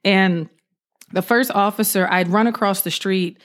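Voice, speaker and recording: A woman police officer telling an animated story in an interview, acting out what she said at the time. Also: medium pitch, a little slow. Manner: animated, acts out her own quotes.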